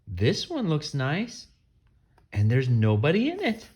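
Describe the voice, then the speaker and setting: A woman's voice: sits low, huge pitch swings, slow pace, a little mellow, pitched below the performer's own voice, heavily acted. A woman voicing a contented, easygoing male bird from a storybook in a simple manner, slightly muffled. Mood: hopeful. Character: happy, easygoing male bird, a devoted husband. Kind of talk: storybook character voice.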